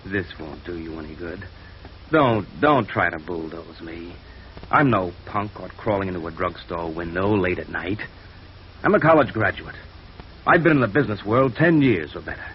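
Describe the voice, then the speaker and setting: A man acting a bitter, stubborn, defensive jailed embezzler in a 1950s radio noir drama, agitated and often halting. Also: low voice, expressive. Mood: defiant. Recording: muffled, noisy old radio recording.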